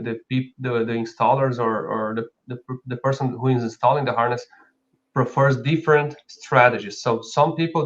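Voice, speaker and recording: A man with a Brazilian accent speaks at a middle pitch. He presents as a founder in an informal live product talk. The sound is a little dull and lo-fi. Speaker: company founder and co-host.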